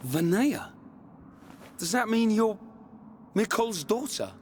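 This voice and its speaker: A man playing an excitable young fighter in a video game, casual and exclamatory. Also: high voice, slow pace, a little hiss or hum, heavily acted. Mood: astonished. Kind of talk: video game cutscene acting.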